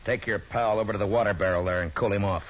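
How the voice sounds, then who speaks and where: An actor playing a calm, firm frontier marshal who also narrates, on an old 1950s radio drama. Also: male voice, on the low side, fast, slight background noise, close to an everyday voice. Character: stern, steady frontier marshal. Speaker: narrator and lead. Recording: dull 1950s radio broadcast.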